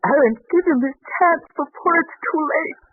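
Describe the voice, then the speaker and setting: A woman's voice: high voice, a little slow. An actress playing a pleading, devoted mother in biblical times, earnest and emotional, in 1940s radio drama style. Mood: desperate pleading. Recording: muffled, noisy old 1940s radio broadcast.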